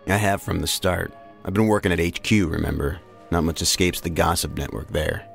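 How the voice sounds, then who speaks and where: A man playing a calm, frank federal agent in an audio drama. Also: low voice, brisk, gravelly, soft background music. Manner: frank, reassuring.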